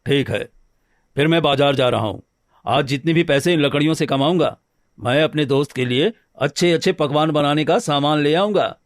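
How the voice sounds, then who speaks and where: Homely cartoon woodcutter, male actor, eager and reassuring, slightly high pitch, very dry sound. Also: moderate pace, no room sound, natural voice. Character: good-natured, eager married woodcutter, a simple villager. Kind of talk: Hindi cartoon story dialogue.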